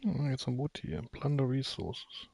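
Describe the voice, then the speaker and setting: A man's voice: mid-range voice, steady tone, moderate pace, creaky, a little mellow, breathy edge. A man doing casual, unscripted gameplay commentary, muttering and thinking aloud to himself.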